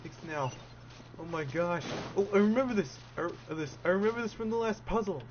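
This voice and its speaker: A man's voice: high-pitched, expressive, brisk. A man giving casual live commentary as he streams a game. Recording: muffled, noisy livestream microphone.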